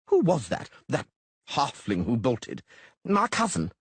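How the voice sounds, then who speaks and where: A man's voice: mid-range voice, huge pitch swings, slow, husky, no room sound, pitched above the performer's own voice, full character voice. A man playing a furious halfling merchant. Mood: placating. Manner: exasperated, shouting. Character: angry male halfling merchant.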